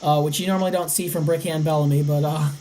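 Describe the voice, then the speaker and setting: A young man around eighteen hosting a casual sports podcast, his voice slightly raspy, rattling off game stats. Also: a little high, steady tone, fast talker, dark tone, slight background noise. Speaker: podcast host.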